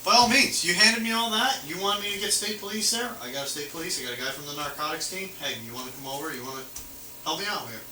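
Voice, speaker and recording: A man's voice: on the high side, lively intonation, fast talker. A man working as a police officer, casually explaining the law in an easygoing, conciliatory way and often repeating his points. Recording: noisy, echoey audio, distant mic.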